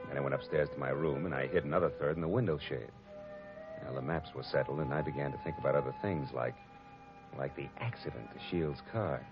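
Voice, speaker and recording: Deep gruff private eye, dry wisecracks, tinny old radio sound with music under it. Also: male voice. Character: hard-boiled male private detective, wry and tough. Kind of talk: 1940s radio drama narration.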